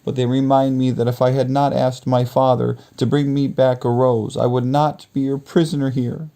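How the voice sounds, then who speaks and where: Man as young storybook heroine, gentle, earnest, dutiful, raised light pitch. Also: flat delivery, moderate pace, close to an everyday voice. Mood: sad. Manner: soft, earnest.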